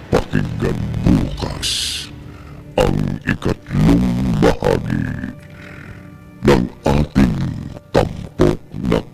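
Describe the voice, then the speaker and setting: A man with a Filipino accent giving ominous, theatrical, drawn-out narration as a gravelly horror radio announcer. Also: very deep voice, lively intonation. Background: loud dramatic music.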